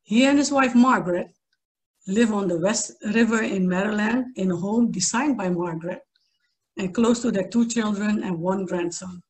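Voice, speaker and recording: A woman's voice: mid-range voice, moderate pace, poor audio. A woman reading a speaker's introduction aloud on a video call from a small room. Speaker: meeting introducer.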